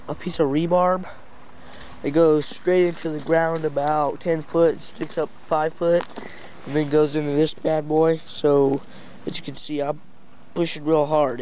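A man giving a casual, halting, step-by-step hands-on walkthrough as a vlogger, recorded on a handheld camera. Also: a little high. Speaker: vlogger showing a homemade CB radio setup. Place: backyard and indoors beside the equipment. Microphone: handheld camera near the speaker. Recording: dull lo-fi camera audio, loud noise.

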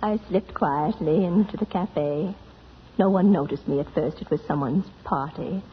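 A woman's voice: deep voice, a little slow, slightly rough, exaggerated voice. An actress playing a refined, romantic woman telling her memories in 1940s radio drama narration. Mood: wistful, lively. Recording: dull vintage radio recording with music.

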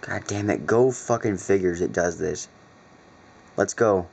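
A man's voice: sits low, a little slow, vocal fry, darkish tone. A man giving casual, off-the-cuff commentary to his viewers in an irritated way, swearing freely, recorded indoors on a handheld camera. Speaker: hobbyist video maker recording weather radio alerts. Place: dark room indoors at a computer. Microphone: handheld camera, speaker behind it. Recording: muffled lo-fi camera audio with noise.

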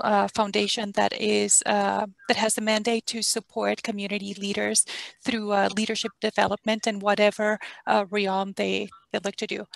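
A woman's voice: medium pitch, creaky, fairly bright tone, no echo, rough recording. A woman from Puerto Rico, an architect on a panel, speaking in a candid, easygoing way over a video call headset. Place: office with window.

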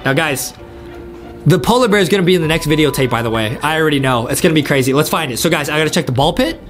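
A young man hosting a gaming video with excited, repetitive commentary, talking straight to his viewers. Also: a little high, quick pace. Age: young adult. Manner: animated, repetitive, addressing viewers. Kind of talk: excited let's-play commentary. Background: game music.

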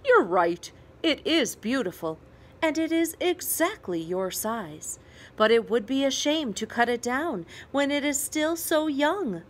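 A woman voicing a kind, thoughtful father in a storybook, speaking gently and expressively. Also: high-pitched, very animated, a little slow, voice pushed up, heavily acted. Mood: warm, amused. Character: Peter's father, kind, thoughtful, amused man. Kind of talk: storybook read-aloud character voice.

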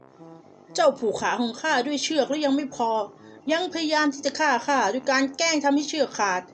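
A woman's voice: high-pitched, a little fast, faint music behind, pitched above the performer's own voice, close to an everyday voice. Woman doing a sly ungrateful wolf. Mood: accusing. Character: ungrateful sly male wolf, demanding and whiny.

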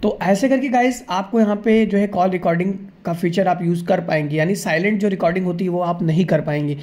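Mellow-voiced man hosting a tech tutorial. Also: high-pitched, fairly quick. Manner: repetitive, explanatory, addresses viewers informally as friends. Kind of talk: casual phone tutorial.

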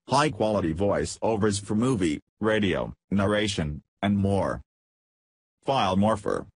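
Guy w a lowish raspy voice reading a scripted software promo, very dry sound. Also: no echo. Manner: reads feature lists in short headed segments. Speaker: narrator of a software demo.

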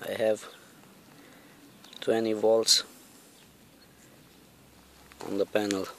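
A man giving a casual, hands-on demonstration in a halting, deliberate way, recorded outdoors on a handheld camera. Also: on the low side, little pitch movement, fairly bright tone, slight background noise. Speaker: vlogger demonstrating electronics. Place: outdoors on a lawn. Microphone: handheld camera or phone, held by the speaker.

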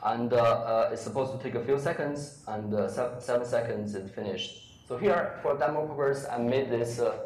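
A man's voice: medium pitch, a little mellow. Male presenter walking through a live demo with lots of filler words, recorded in an echoey hall with faint noise. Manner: halting, many fillers. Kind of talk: live software demo at a meetup. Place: large lecture room with audience.

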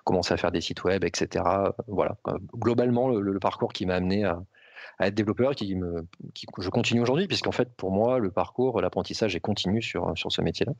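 A man in his forties, a software developer guest, answering hesitantly with many pauses and repeated words. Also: low voice, vocal fry, no echo, muffled recording. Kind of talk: casual interview answers.

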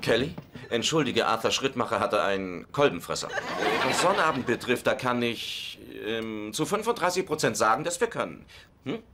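A man's voice: medium pitch, very animated, normal speed, bright, forceful tone, a little hiss or hum. An actor playing an easygoing, food-loving married man in a dubbed sitcom, with a playful, casual, grumbling manner. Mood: casual pleading.

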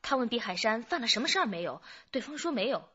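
A firm woman police detective who probes and presses. Her voice is husky, in a close, dry and slightly dull recording, with the delivery of a radio crime drama. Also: fairly high pitch, very animated, fast, pushed and bright, no echo, full character voice. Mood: urgent, reporting.

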